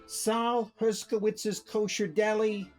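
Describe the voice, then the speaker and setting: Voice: medium pitch, flat delivery, slow pace, darkish tone, faint music behind, lightly acted. An actor playing a chatty, nagging mob sidekick at a pizzeria in a comic radio play, talking in a wheedling, needling way. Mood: needling, coaxing.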